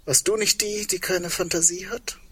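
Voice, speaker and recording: An actor playing a brooding, sardonic man in a radio drama, breathy and dryly questioning. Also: sits high, steady tone, normal speed, bright, forceful tone, heavily acted. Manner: questioning, dry. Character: probing, brooding, sardonic man awaiting the end. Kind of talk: radio drama dialogue acting.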